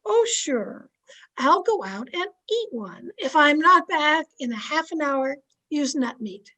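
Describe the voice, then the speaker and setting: Woman acting chirpy dotty neighbour, high-pitched, sing-song, gushing, eager, old-time radio comedy. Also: very animated, slow, sharp tone, exaggerated voice.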